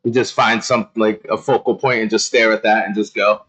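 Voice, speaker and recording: A man's voice: lowish voice, flat delivery, a little fast, a bit bright. A man hosting a casual interview, chatty and encouraging, often rephrasing his guest's answers. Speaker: interview host.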